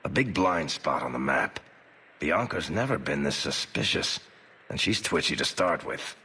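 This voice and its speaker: An actor playing a roguish dwarf adventurer in a video game, sardonic and wisecracking. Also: male voice, a bass voice, lively intonation, unhurried, bright, forceful tone, husky, in a large hall, heavily acted. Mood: dry, sardonic. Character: wry, roguish male dwarf adventurer and storyteller. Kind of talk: conversational video game acting.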